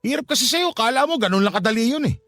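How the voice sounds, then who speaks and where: High-pitched, animated, callous Filipino husband. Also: male voice, quick pace, dry recording, studio quality, heavily acted. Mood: impatient, blaming. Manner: dismissive, sneering, impatient. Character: callous, irresponsible husband, scornful and selfish. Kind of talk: Filipino radio drama acting. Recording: faint music bed behind voice.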